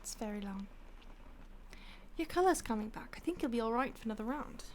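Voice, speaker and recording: A woman playing an ancient, detached vampire stalker in an intimate audio roleplay, with a breathy voice that often drops to a whisper and a languid, teasing manner. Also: fairly high pitch, animated, a little fast, a little hiss or hum, lightly acted. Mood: musing, detached. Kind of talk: intimate audio roleplay, ASMR-like acting addressed to the listener.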